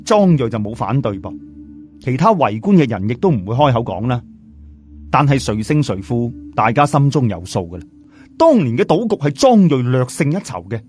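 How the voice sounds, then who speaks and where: A man with a Cantonese accent narrating a story as an audiobook storyteller, in an animated, conversational, explanatory way. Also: medium pitch. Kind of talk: animated scripted narration with colloquial asides.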